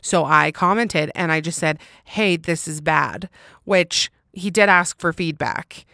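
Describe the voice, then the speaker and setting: A woman with a fairly low, intense voice hosts a casual solo podcast. She talks loudly and candidly, leaning on her words for emphasis as she chats to listeners. The recording is dry, with hardly any room sound. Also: measured pace, creaky, no room sound. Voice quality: loud, intense voice. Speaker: podcast host. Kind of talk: casual solo chat answering listener questions.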